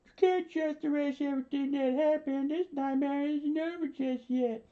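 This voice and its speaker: Shrill, vengeful rebel yelling performed by a man. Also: fairly even intonation, moderate pace, highs cut off. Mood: outraged. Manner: shouting. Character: angry rebel crowd, shrill, defiant, vengeful.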